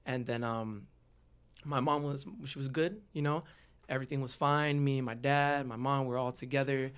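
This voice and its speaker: A man's voice: mid-range voice, steady tone, moderate pace, clean tone. A young man with a Southern California American accent, telling his own story openly and informally as a podcast guest. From Los Angeles area, United States. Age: young adult. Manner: open, informal, slangy. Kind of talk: casual personal interview storytelling.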